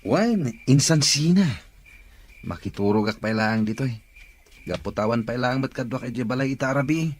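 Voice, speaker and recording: A man plays an easygoing young cousin in a radio drama, his mid-pitched voice swinging up and down a lot. He talks in a relaxed, coaxing way. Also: normal speed, a little hiss or hum, lightly acted.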